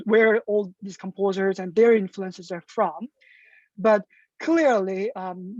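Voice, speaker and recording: A woman's voice: mid-range voice, darkish tone, no room sound, muffled recording. A woman hosting a music symposium, asking questions in an enthusiastic, articulate way with some fillers. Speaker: symposium host, interviewer. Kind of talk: moderating an online talk.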